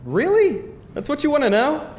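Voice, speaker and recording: Man acting a cocky, animated high-voiced guy, echoey room. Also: moderate pace. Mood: cocky, matter-of-fact. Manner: bossy, teasing game-show delivery. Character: cocky, playful man quizzing his date like a mock attorney. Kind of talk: live screenplay table read. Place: indoor room before a live audience. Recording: echoey live room, faint noise.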